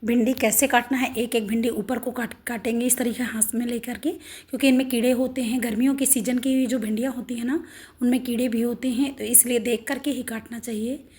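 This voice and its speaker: A middle-aged woman with a hoarse, sore throat, walking through a home recipe step by step in a homely, instructive way. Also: a little high, fairly even intonation, a little fast, a little mellow. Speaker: cooking channel host. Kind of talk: home cooking demonstration.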